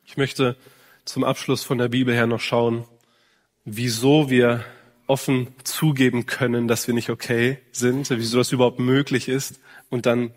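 Male preacher, medium pitch, earnest sermon delivery straight to the listener, long hall reverb. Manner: earnest direct address with rhetorical questions. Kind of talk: sermon to men.

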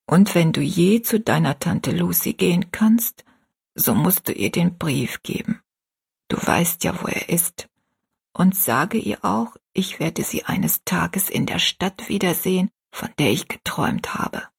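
Woman playing a hushed, weary dying mother. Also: on the low side, expressive, unhurried, vocal fry, slightly rough, full character voice. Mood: tender, earnest. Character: gravely ill, gentle, devout dying mother.

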